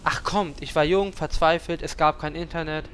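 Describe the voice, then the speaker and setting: A man's voice: fairly high pitch, fairly even intonation, normal speed, fairly bright tone, close to an everyday voice. An actor playing a sheepish, complaining male adventurer in a comedy audio play. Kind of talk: radio comedy acting. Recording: light background noise.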